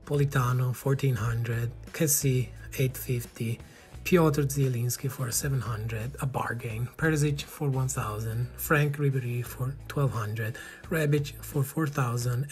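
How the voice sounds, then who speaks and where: Italian man calmly narrating game footage. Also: medium pitch, measured pace, faint music behind. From Italy. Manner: calm, matter-of-fact play-by-play. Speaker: gaming channel host. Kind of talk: game commentary voice-over.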